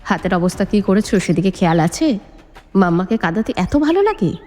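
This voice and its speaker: A woman's voice: medium pitch, sing-song, brisk, mellow, natural voice. A woman storyteller voicing a fiercely protective, hot-tempered middle-aged father with a commanding, emphatic delivery. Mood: stern. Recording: long reverb, music underneath.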